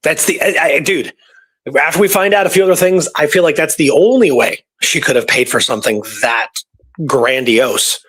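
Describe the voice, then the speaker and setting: A man with a somewhat high voice chats through historical research. He is informal and conversational, telling it like a story to a friend as a guest researcher. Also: moderate pace, fairly bright tone, breathy edge. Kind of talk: casual conversational history discussion.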